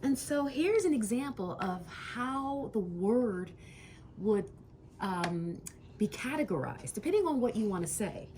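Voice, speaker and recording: A woman's voice: on the high side, sing-song, unhurried, a little mellow, a little hiss or hum. A woman teaching a lesson with questions to a student, encouraging and emphatic, drawing out key words.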